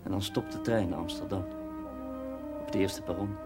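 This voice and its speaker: A man's voice: sits low, steady tone, moderate pace, loud music behind the voice. A man acting a curt, wry mine engineer in a naturalistic seventies television drama, speaking in a clipped, matter-of-fact way. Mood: tender, wistful. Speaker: mining engineer.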